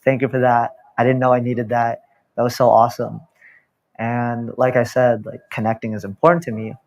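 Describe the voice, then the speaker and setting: A man's voice: mid-range voice, level tone, normal speed. A young man on a panel, a drag performer telling a personal story in a reflective, hesitant way. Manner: reflective, hesitant, many fillers and restarts.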